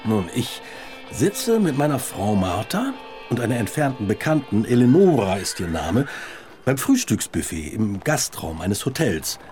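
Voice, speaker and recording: A man acting in a radio play as a formal, stuffy, easily affronted gentleman given to scoffing. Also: fairly low pitch, very animated, measured pace, faint music behind. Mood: formal, reflective. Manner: formal, amused scoffing turning indignant.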